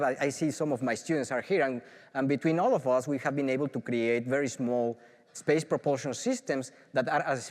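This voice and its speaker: Mexican-accented man in a big hall. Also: mid-range voice. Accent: Mexican Spanish. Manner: enthusiastic, filler-heavy with frequent restarts. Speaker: professor. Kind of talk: conference talk. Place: large auditorium.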